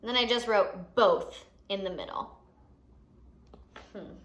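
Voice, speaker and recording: A woman's voice: medium pitch, slow, a bit bright. A woman hosting a lesson for young children, explaining things simply and expressively and putting questions to the listener. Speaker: children's teacher and show host.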